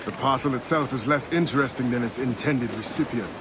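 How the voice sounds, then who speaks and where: An actor playing a determined, commanding ship captain, a pirate turned assassin, in a dramatic video game. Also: male voice, mid-range voice, a little fast, background noise, lightly acted. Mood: grim, resolute. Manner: commanding, resolute.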